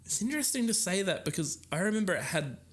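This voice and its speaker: A man's voice: high-pitched, big pitch swings, fast. An Australian man co-hosting a podcast in a conversational style. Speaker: podcast co-host.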